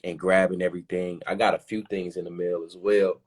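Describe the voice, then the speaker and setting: A man with a low voice hosts a live stream over a call, talking in easy slang. He speaks to his viewers in a relaxed, friendly way. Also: fairly quick. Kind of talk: casual chat.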